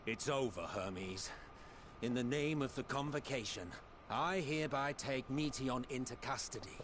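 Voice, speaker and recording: An actor playing a stern official in a game cutscene, speaking firmly and with command. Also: male voice, medium pitch, a little slow, background noise, lightly acted. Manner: firm, commanding delivery. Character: stern authoritative official making an arrest.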